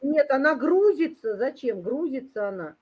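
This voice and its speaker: A woman's voice: high-pitched, sing-song, normal speed, crowd noise. Unsure woman on a dull lo-fi call. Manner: unsure, asking repeated questions. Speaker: conference speaker. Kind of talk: casual chat on a video call. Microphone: video call.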